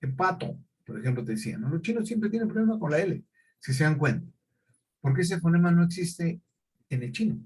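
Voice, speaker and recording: A man's voice: fairly high pitch, animated, a little fast, a little mellow, husky, rough recording. A middle-aged male teacher, lecturing a class and often checking in with questions.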